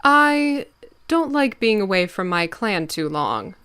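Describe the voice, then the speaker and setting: Dignified she-cat leader acted by a woman, measured, courteous authority, medium-pitched voice. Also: sing-song, fairly bright tone, clear, resonant tone. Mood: firm, courteous. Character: calm, dignified female clan leader cat. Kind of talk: fandub audiobook voice acting.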